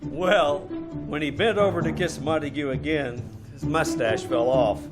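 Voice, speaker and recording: An actor playing a wry, tough private detective, dry and wisecracking, in an old-time radio play. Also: male voice, a little high, unhurried, loud music behind the voice, close to an everyday voice. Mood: wry narrating. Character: wry, tough male private detective narrating his case.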